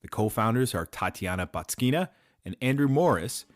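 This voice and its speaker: Male podcast host, lively intonation, scripted introductions, dry recording, faint music. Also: medium pitch, moderate pace. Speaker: host introducing startup founders.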